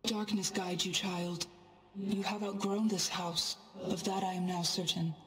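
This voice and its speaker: An actress playing a solemn, motherly goddess of night in a video game, speaking gravely and formally. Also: mid-range voice, little pitch movement, measured pace, soft background music, close to an everyday voice. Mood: solemn, protective. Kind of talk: scripted video game voice acting. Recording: echoing, reverberant.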